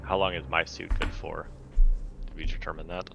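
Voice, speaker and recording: A man's voice: sits low, little pitch movement, moderate pace, vocal fry. A man chatting casually as a player in a tabletop roleplaying game. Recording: music behind the voice.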